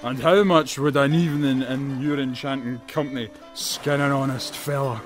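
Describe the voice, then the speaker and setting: A man's voice: mid-range voice, steady tone, moderate pace, soft background music, natural voice. An actor playing a cheeky, flirtatious Scottish man in an audio drama, slyly jovial. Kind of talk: full-cast audio drama acting.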